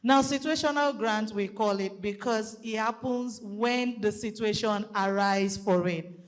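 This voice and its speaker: A Nigerian woman speaking at a conference, loud-voiced and methodical as she explains programs with light fillers. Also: medium pitch, unhurried. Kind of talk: public presentation. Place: conference hall. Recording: long hall reverb.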